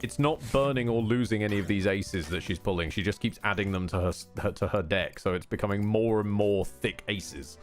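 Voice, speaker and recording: A man's voice: on the low side, a little fast, hardly any pauses, faint music behind. A man giving casual live game commentary as a streamer, swearing, grumbling wryly and cutting himself off. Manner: sweary, self-interrupting, wry grumbling.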